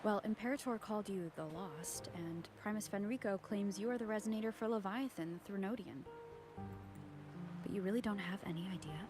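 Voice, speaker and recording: A woman's voice: mid-range voice, fairly quick, lightly acted. A woman voicing a thoughtful, earnest young female wanderer, the protagonist of a video game. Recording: clear music behind.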